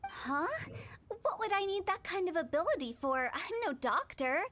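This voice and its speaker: A woman's voice: very high voice, big pitch swings, normal speed, a bit bright, heavily acted. A woman voicing a playful, teasing young woman who directs a funeral parlor, with a giggly, breezy manner, in game audio. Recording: game audio with background music.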